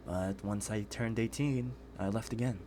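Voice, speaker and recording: A man acting a shy, guarded young guy with a fairly low voice. He speaks earnestly and with restraint, as in an intimate audio roleplay. There is noise behind the voice. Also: fairly even intonation, normal speed, mellow, natural voice. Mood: somber. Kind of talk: scripted ASMR roleplay acting. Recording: clearly noisy background.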